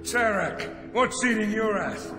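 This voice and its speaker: An actor playing a grizzled, sardonic veteran bounty hunter in a video game, blunt and needling. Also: male voice, sits high, takes it slowly. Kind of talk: video game character acting. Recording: echoey hall reverb, music behind.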